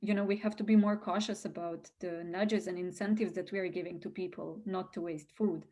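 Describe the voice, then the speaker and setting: A woman's voice: on the low side, steady tone, quick pace, dark tone. Young woman explaining research. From Serbia. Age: young adult. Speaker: researcher, interview guest.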